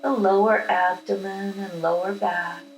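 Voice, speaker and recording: A woman leading a guided meditation with affirmations, speaking in a calm, unhurried, instructional manner. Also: medium pitch. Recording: roomy echo with music behind.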